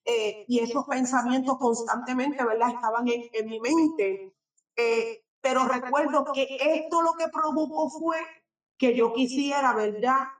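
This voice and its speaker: Puerto Rican woman, echoey and distant. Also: sits high. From Puerto Rico. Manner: halting, often tags sentences with a questioning filler. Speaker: guest giving a testimony. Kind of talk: religious personal testimony. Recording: echoey room, speaker away from the mic.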